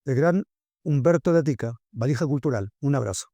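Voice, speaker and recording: A man's voice: mid-range voice, animated, a little fast, dark tone, dry recording. A man hosting an interview. He asks questions and closes the interview with formal thanks. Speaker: interviewer, host. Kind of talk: casual interview.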